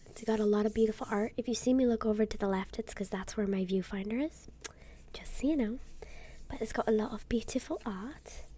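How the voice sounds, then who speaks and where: Woman whispering ASMR, mellow tone, soft and slow, slightly ill. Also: medium pitch. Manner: soft whispering, gentle and unhurried. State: sick, occasional throat clearing. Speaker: YouTuber. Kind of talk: whispered ASMR tarot deck unboxing.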